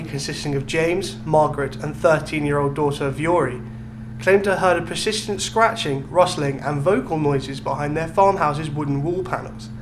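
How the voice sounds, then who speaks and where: Higher-voiced young British man, echoey noisy room. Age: young adult. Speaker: podcast co-host. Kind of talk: casual chat. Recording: echoey room with clear hum.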